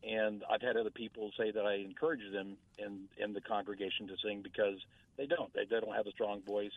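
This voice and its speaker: A man's voice: lowish voice, flat delivery, fairly quick, creaky, dry recording. A man calling in to a radio show, chatting in a friendly way with a big voice. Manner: friendly, chatty, laughs easily. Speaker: caller. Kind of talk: phone-in chat. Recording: tinny phone line.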